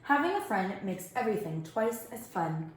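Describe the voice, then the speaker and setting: A woman's voice: mid-range voice, very animated, moderate pace, a little hiss or hum. A woman working as a storyteller reads a picture book aloud expressively, addressing young children. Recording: roomy, echoing indoor sound.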